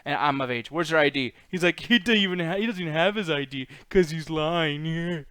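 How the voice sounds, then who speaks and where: A man's voice: on the high side, moderate pace, a bit bright, clean tone, dry recording. A man co-hosting a casual chat, telling rambling, profane anecdotes and acting out the quoted lines. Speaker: co-host of a movie discussion show.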